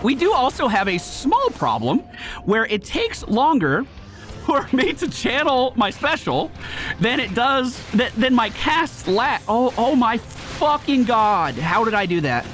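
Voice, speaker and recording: A man giving casual, animated live commentary as a gaming host, exclamatory and swearing freely. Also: very high voice, measured pace. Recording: game music behind.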